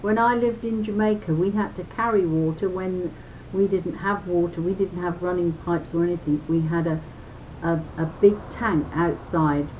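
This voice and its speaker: An older woman reading a children's booklet aloud in a deliberate, instructive way, with chatty personal asides. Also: dark tone. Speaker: reader of a children's health booklet. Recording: noisy home recording with low rumble.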